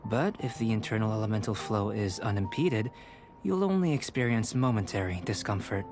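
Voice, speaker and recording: A man voicing a calm, cerebral young alchemist scholar in a video game, measured, formal and coolly detached. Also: lowish voice. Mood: matter-of-fact. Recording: music behind the voice.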